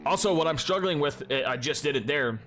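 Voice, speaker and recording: A man's voice: fairly high pitch, fairly quick. Congested young guy rambling thru his game replays, lots of ums, faint game noise underneath. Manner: rambling, many fillers and restarts, relaxed swearing. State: congested, sniffling. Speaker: gaming youtuber. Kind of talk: casual commentary over replays.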